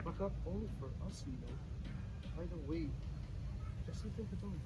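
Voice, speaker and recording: A man's voice: a little high, lively intonation, brisk, close to an everyday voice. An actor in a dramatic play reading, playing an earnest, philosophical man with a formal, emphatic, argumentative manner. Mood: earnest, polite. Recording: distant mic, faint background noise.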